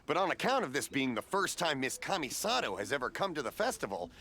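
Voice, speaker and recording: A jovial middle-aged festival vendor. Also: male voice, fairly high pitch, sing-song, fast talker, vocal fry, exaggerated voice. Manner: jovial, chuckling, warm-hearted banter. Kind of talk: video game voice acting.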